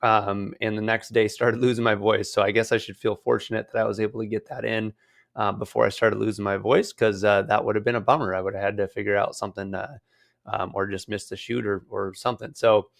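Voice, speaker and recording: A man hosting a solo real estate podcast in a casual, rambling way with many fillers, his voice hoarse as it recovers. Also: lowish voice, level tone, brisk. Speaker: podcast host.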